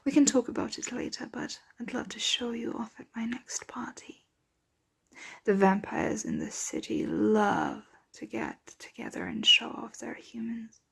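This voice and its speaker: A woman playing a doting, genteel vampire mistress in an ASMR roleplay, speaking softly and breathily in a soothing, possessive, coaxing manner. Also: fairly high pitch, big pitch swings, gravelly, slightly rough, exaggerated voice. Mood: doting, reassuring. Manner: slow, coaxing, whispery soft-spoken delivery. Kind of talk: ASMR roleplay, soft intimate close speech.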